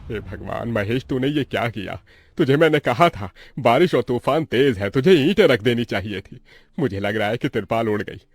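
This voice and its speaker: A man playing a poor, worried labourer father who frets and gives orders in an animated moral story. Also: mid-range voice, moderate pace, vocal fry, no room sound. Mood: scolding, urging. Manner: fretful, exhorting. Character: Devesh, poor worried labourer father, bossy but caring.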